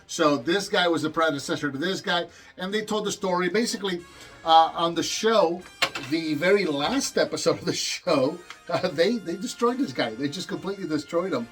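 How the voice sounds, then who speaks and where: A man's voice: on the high side, animated, normal speed, fairly bright tone, soft background music. A man in his forties from Puerto Rico, hosting a toy review in an enthusiastic, rambling way. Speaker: toy review channel host.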